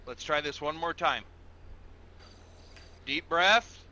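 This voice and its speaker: A man's voice: fairly high pitch, slow pace, sharp tone, dull audio, slight background noise, exaggerated voice. A man playing a patient, procedural police officer in an online game roleplay, explaining things step by step in a formal, instructional way. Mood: firm, exasperated. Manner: methodical, instructional, repeats himself, formal with sir.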